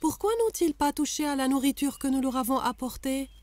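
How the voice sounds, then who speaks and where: A very high-pitched cartoon maidservant, acted by a woman. She is curious and easily amazed, exclaiming and questioning with open wonder. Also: fairly quick, a little mellow, close to an everyday voice. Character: astonished, curious young maidservant of Abraham's household. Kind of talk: cartoon dubbing.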